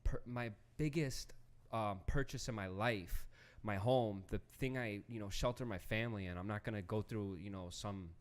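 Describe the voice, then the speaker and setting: A man co-hosting a casual podcast, talking haltingly with lots of "you know" and "um," and swearing casually. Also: fairly low pitch. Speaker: podcast co-host.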